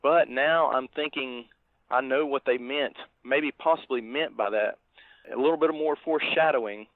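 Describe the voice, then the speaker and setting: Halting man on a phone-like line. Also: medium pitch, no echo. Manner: halting, reflective. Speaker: podcast co-host. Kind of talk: casual movie review chat. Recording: thin phone-like line, dry.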